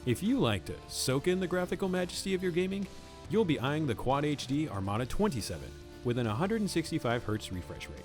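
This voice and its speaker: A man's voice: on the high side, sing-song, brisk, faint music behind. A man reading a scripted advert in a grandiose, theatrical manner. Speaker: ad announcer.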